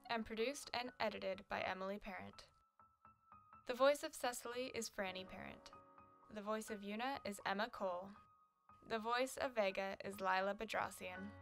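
A woman's voice: medium pitch, a bit bright. A woman reading scripted credits as the announcer of an audio production. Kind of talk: scripted narration.

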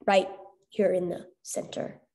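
A woman voicing a timid, glitchy female robot spider that speaks haltingly, word by word, with stuttered consonants. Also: on the low side, flat delivery, dark tone, pitched below the performer's own voice. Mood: earnest, halting.

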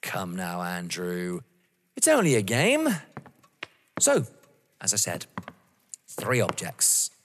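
A male actor voices a taunting young man in a radio-style drama. He savours each word with theatrical mockery, his pitch swinging dramatically. The room around him rings with long reverb. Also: wildly swooping pitch, slow, pauses often, fairly bright tone, slight background noise, exaggerated voice. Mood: gloating. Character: taunting, vengeful young man playing a deadly game. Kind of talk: audio drama acting.

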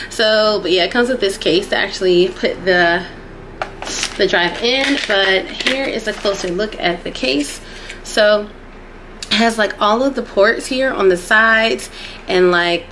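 A young woman vlogging an unboxing in a small living room, chatty and excitable, a little sniffly from a cold. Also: medium pitch. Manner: chatty and excitable, addresses viewers as y'all. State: sniffly, getting over a cold. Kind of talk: casual unboxing vlog.

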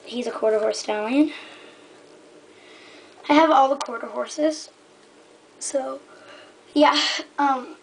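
A woman giving a casual, rambling show-and-tell in a hesitant, halting way that often trails off. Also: sits high, creaky. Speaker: hobbyist giving a toy horse stable tour. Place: indoors in a room at home. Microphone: handheld camera held close by the speaker. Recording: noisy amateur home camera recording.

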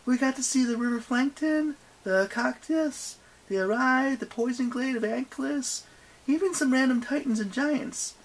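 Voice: high-pitched, unhurried, voice pushed up, rough recording. A narrator voicing a cheeky teenage demigod girl who bluffs in a breezy, casual, falsely innocent way in an audiobook story. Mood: breezy bluffing.

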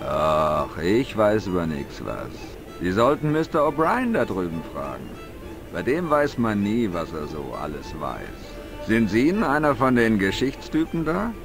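A gullible village simpleton from an adventure game. His lowish voice swings widely as he chatters naively and colloquially, with music playing clearly behind him. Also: huge pitch swings, a little slow, exaggerated voice. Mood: naive curiosity. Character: simple, gullible, talkative Irish village yokel man. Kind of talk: dubbed adventure game dialogue.

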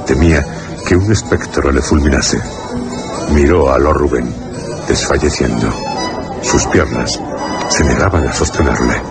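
A man with an extremely deep voice and Castilian accent narrates radio horror. He is grave and deliberate, pausing for drama, with loud music behind him. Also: vocal fry. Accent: Castilian Spanish.

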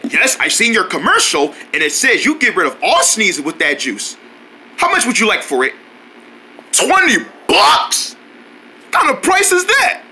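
A man voicing a clumsy, naive, excitable cartoon fellow who stammers and exclaims, in an amateur cartoon style. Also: sits high, measured pace, slightly rough, voice pushed down, full character voice, low-quality recording. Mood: eager.

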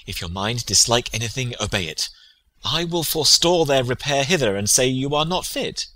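An actor playing a loyal, concerned gentleman, friend to a prince, in a Shakespearean dramatic reading. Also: mid-range voice, lively intonation, moderate pace, dry recording.

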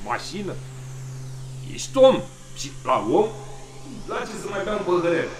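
An actor playing a rough, hard-drinking middle-aged father, blunt and self-justifying, in an audio drama. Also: sits high, very animated. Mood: gruff, defensive. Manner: blunt, colloquial, repeats himself. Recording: echoey reverberant room, background noise.

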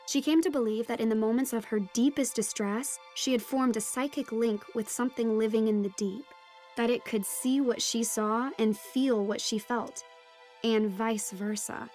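A teenage girl voiced by an actress recounts her adventure in an audio drama. Her voice is fairly high and her storytelling measured, over a bed of music. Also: steady tone, a little mellow, natural voice. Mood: reflective. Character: teenage daughter recounting a deep-sea adventure. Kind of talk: first-person scripted narration. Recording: music behind the voice.

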